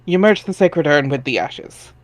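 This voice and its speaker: A man's voice: on the high side, lively intonation, normal speed, dry recording. A man reading scripted second-person narration for a webcomic dramatic reading.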